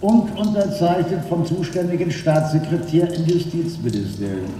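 An actor playing a stubborn, venal prison warder in a radio drama, grudging and sardonic. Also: male voice, fairly high pitch, very animated, normal speed, dark tone. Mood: stubborn, sly. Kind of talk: German radio drama acting. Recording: very echoey stone-like hall.

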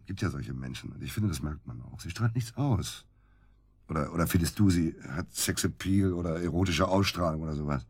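A man acting a bitter, middle-aged husband in a naturalistic German radio drama, with a husky voice and a blunt, emphatic way of repeating himself. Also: brisk. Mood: dismissive, sardonic. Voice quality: husky low voice. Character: bitter, hard-drinking husband of middle age, crude and volatile.

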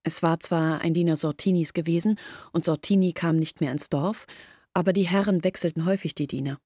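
Actress playing Olga: young village woman, low and earnest, dead-dry close mic. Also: fairly even intonation, a little fast, dark tone, dry recording, lightly acted. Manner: earnest confessional telling. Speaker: lead character in radio play. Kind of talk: radio drama acting.

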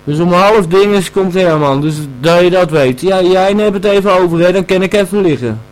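A high-voiced actor as a dazed man in an audio play, pleading and insistent. He speaks haltingly, circling back to the same few phrases. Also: close to an everyday voice, low-quality recording. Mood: insistent, elated. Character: dazed, pleading man in Marbella needing a lie-down. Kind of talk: improvised-sounding Dutch audio drama.

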